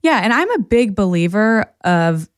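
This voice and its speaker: A woman's voice: medium pitch, very animated, moderate pace, clean tone, dry recording, clean studio sound. A woman in her early thirties hosting a podcast, explaining her views earnestly and encouragingly. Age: 30s. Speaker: podcast host. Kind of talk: casual podcast conversation.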